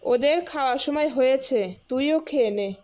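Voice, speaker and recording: Woman acting a stern, higher-voiced village mother. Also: normal speed, a little mellow, lightly acted. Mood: matter-of-fact. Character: stern, caring middle-aged village mother in a cartoon drama. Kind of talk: cartoon drama voice acting. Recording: music behind the voice. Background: music.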